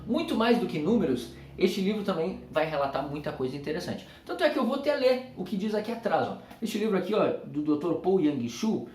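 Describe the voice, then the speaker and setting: An earnest Brazilian man speaks to camera with a Brazilian Portuguese accent, leaning into emphatic, repeated phrases like a preacher. His voice sits fairly high for a man. The room gives it a noticeable echo. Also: very animated, fairly quick, hardly any pauses, darkish tone, slight background noise. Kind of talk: reflective tribute talk to camera.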